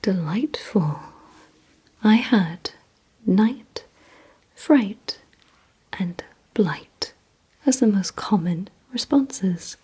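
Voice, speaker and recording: A woman whispering an ASMR roleplay as a composed, commanding vampire, giving slow, deliberate, coaxing instructions in a breathy voice. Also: medium pitch, animated, mellow. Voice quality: breathy, mostly unvoiced whisper. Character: composed, commanding female vampire quizzing her listener. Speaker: ASMR performer.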